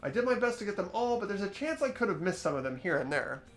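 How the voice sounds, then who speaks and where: A man's voice: high-pitched, sing-song, fast, a bit bright. A man playing an earnest, mild-mannered teenage schoolboy in a game voice-over, hesitant and questioning. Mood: earnest reasoning. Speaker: amateur voice actor. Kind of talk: fan voice-over of a visual novel game. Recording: game music under the voice.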